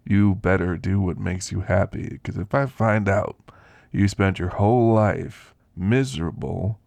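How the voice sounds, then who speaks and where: A man playing a kindly elderly werewolf in an ASMR roleplay, with a gravelly voice and a folksy, gently chatty manner. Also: deep voice, unhurried, no room sound, heavily acted. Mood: warm, teasing. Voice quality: gravelly, creaky. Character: kindly, frail elderly male werewolf, teasing and grandfatherly. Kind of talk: ASMR roleplay, intimate character monologue.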